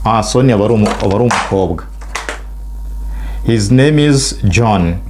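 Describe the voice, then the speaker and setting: A middle-aged man with a Rwandan accent, a native Kinyarwanda speaker, teaching spoken English and stretching out model phrases slowly for learners to repeat. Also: on the low side, lively intonation, noisy background.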